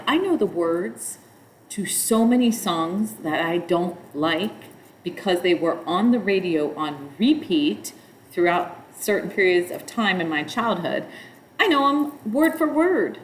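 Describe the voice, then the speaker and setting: A middle-aged woman with a nasal voice, teaching yoga in a relaxed, rambling way. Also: lowish voice, a little slow, slight background noise, poor audio. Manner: chants mantras in a nasal sung tone between explanations. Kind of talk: spoken yoga instruction.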